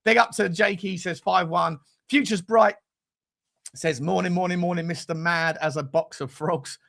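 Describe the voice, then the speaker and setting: A high-pitched British man in his forties with a bright, forceful and gravelly voice. He hosts a football fan livestream, rambling from one jokey aside to the next. His talk is casual, slangy and sweary, aimed straight at the people commenting. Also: steady tone, normal speed. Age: 40s. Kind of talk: casual livestream chat, reading and answering comments.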